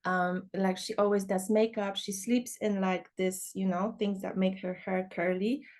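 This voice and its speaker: A woman's voice: medium pitch, little pitch movement, unhurried, darkish tone. A young woman from Poland, a podcast guest talking casually about teaching dance. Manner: chatty, many fillers like "you know".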